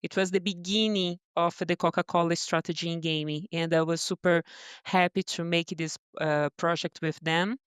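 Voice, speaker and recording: Brazilian woman guest, smooth low voice, dry close sound, halting English. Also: fairly even intonation, no echo. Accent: Brazilian Portuguese. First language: Portuguese. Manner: hesitant, many fillers and restarts. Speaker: guest, brand partnerships director. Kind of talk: podcast interview answers.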